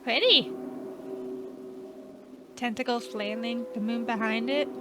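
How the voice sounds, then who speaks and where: A woman's voice: fairly high pitch, slow pace, very noisy. A woman doing a game playthrough, commenting casually and reading text aloud. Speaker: gamer narrating a playthrough. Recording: loud game music behind voice.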